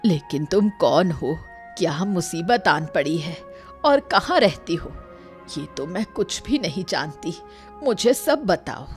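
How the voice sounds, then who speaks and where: A woman voicing a weary, lonely, kindly old village woman in a cartoon story, with a slow, resigned manner. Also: fairly low pitch, animated, faint music behind. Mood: wary, kindly. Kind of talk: Hindi moral-story cartoon dubbing.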